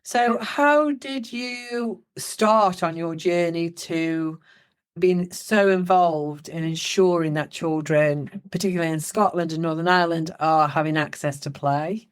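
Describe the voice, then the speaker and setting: Slow, smooth-voiced woman podcast host. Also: fairly low pitch. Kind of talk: podcast interview.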